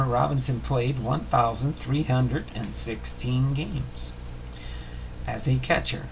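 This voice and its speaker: A man hosting a livestream, talking casually and reading aloud in a halting way with uneven phrasing. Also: mid-range voice, gravelly, noisy background. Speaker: host of a baseball biography livestream.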